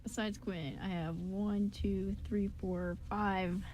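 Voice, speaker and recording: A woman's voice: mid-range voice, fairly even intonation, slow, a little mellow. A young adult woman co-hosting a radio show in casual, joking chat with short interjections. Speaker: radio drama show co-host and writer. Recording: noisy room recording.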